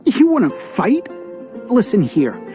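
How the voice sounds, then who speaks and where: A woman's voice: mid-range voice, huge pitch swings, slow, dark tone, soft background music. A woman playing an indignant, resentful townsperson in a video game, scolding and accusing. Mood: angry, warning.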